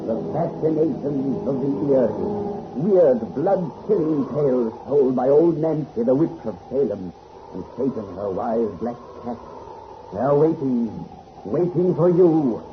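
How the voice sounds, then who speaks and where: Slow, ominous radio announcer man, noisy old recording. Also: medium pitch, expressive, highs cut off, lightly acted. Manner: ominous, drawn-out theatrical delivery. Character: ominous announcer of eerie tales. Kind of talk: 1930s radio horror announcing.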